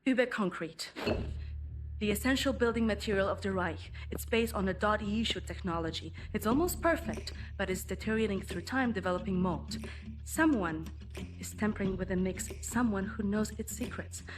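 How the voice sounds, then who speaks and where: Precise scientist briefing, mid-pitched, played by a woman, music loud behind her. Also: close to an everyday voice. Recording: game cutscene with loud music.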